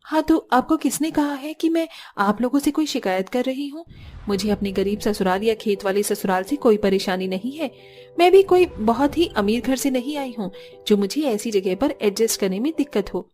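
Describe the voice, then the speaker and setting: A woman's voice: on the high side, lively intonation, moderate pace, darkish tone, soft background music, natural voice. A woman voicing a poor, earnest young daughter-in-law in a cartoon family story. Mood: reassuring, earnest. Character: young poor daughter-in-law, anxious, sensible and plain-spoken.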